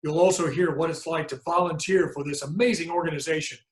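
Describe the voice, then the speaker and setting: A man's voice: fairly high pitch, fairly even intonation, fast. Upbeat male host in a roomy space. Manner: upbeat, scripted transitions. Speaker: event host. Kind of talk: hosting a virtual fundraiser. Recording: roomy echo.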